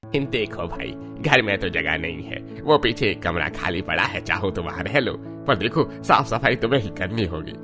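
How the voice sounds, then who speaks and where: An actor playing a greedy, grudging older uncle in a cartoon story, with music clearly behind him. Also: male voice, lowish voice, expressive, moderate pace, natural voice. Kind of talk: Hindi cartoon story dub.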